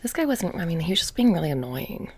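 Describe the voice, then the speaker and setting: A woman playing a bored, petty young witch shopkeeper in a soft-spoken ASMR roleplay, casually menacing and deadpan, breathy and often dropping into a whisper. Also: lowish voice, a little hiss or hum. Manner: deadpan, casual, many drawn-out pauses and filler "like".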